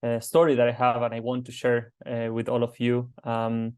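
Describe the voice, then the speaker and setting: Earnest accented man with a smooth voice. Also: mid-range voice, monotone, a little mellow. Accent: non-native English. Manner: earnest, halting with many fillers. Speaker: advocacy team member. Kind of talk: personal testimony on a webinar. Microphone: conference call microphone.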